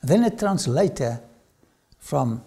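An older man with an Afrikaans accent, a lecturer telling his own story to camera in a studio, swinging from loud phrases to whispers. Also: fairly high pitch, big pitch swings, slow pace, vocal fry, breathy edge. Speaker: archaeology lecturer. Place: studio with dark backdrop.

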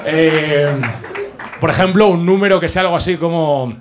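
Spanish guy doing standup on a club stage, really high voice, theatrical, into a hand mic. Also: normal speed, crowd noise, background noise. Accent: Spain. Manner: animated, theatrical delivery. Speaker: stand-up comedian. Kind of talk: stand-up comedy with comic singing. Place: small comedy club stage. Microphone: handheld microphone.

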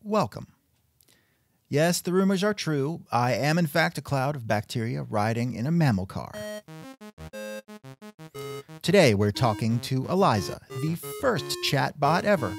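A man hosting a scripted comedic interview show, sardonic and increasingly exasperated. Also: mid-range voice. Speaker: podcast host.

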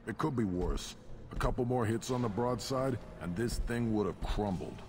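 An actor playing a proud, grumbling reptilian alien war mechanic in a video game, boastful and sardonic. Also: male voice, fairly low pitch, normal speed, natural voice. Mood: gruff, appraising.

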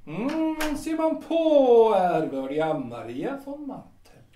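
Haughty, fussy café owner played by a man for period audio drama; pompous, animated delivery, a little off-mic. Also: very high-pitched, a little hiss or hum. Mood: smug courtesy. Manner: pompous, hesitant with drawn-out ers. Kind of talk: period audio drama acting, 1930s setting. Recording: roomy, slightly distant microphone.

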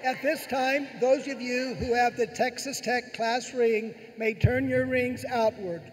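A man's voice: extremely high pitch, fairly even intonation, a little slow. A middle-aged man giving a formal ceremonial address as a university president at a podium microphone in an arena. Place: large indoor arena, at a podium. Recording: echoey arena, noisy ambience.

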